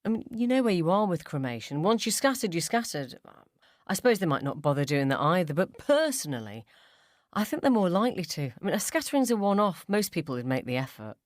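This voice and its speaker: A woman's voice: fairly low pitch, big pitch swings, a little fast, no room sound, clean studio sound. A woman voicing a mischievous mum in a short story, teasing and blunt with breezy false innocence. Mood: breezy provocation. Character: mischievous, needling mum provoking her friends, falsely innocent. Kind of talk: short story character dialogue.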